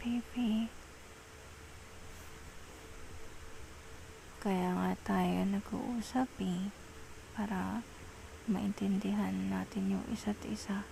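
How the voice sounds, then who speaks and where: A woman acting as a young Filipino girlfriend in an ASMR roleplay, apologetic and pleading. Her voice is soft and mellow, and she talks slowly and hesitantly, coaxing her partner. A faint noise sits behind the intimate recording. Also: medium pitch, fairly even intonation, gravelly, low-quality recording. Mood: apologetic, tender.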